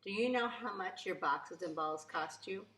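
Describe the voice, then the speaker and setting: A woman voicing a kind, calm, fatherly woodcarver in a children's storybook, asking things gently and patiently. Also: mid-range voice, normal speed, lightly acted. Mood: kind, questioning. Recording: echoey room.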